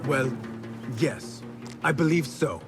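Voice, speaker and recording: An actor playing an eager young assassin apprentice in a video game, respectful and slightly hesitant. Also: male voice, fairly high pitch, expressive, takes it slowly, vocal fry, over music, heavily acted. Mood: earnest, respectful. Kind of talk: dramatic video game acting.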